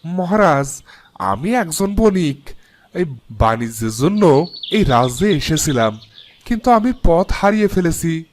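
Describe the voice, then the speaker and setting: A man's voice: a little high, very animated, unhurried, pauses often, a little hiss or hum. A man voicing a polite, ingratiating travelling merchant in a fairy-tale cartoon, deferential and eager.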